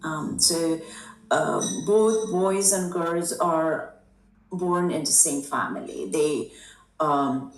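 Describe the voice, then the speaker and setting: A middle-aged woman from Afghanistan, a native Dari speaker, answering interview questions in a thoughtful way with many pauses and fillers. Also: low voice. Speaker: guest. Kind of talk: conversational interview. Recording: echoey room, distant mic, music behind.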